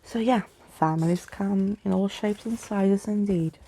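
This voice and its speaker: A woman reading a storybook aloud in a soft-spoken ASMR style, with casual asides. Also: mid-range voice, a little slow.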